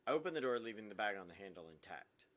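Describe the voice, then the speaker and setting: A man's voice: lowish voice, big pitch swings, very fast, fairly bright tone. Man voicing an anguished, vindictive visual novel protagonist, swinging wildly. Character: anguished, vindictive, obsessive young man narrating inner monologue. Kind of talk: dramatic visual novel read-aloud.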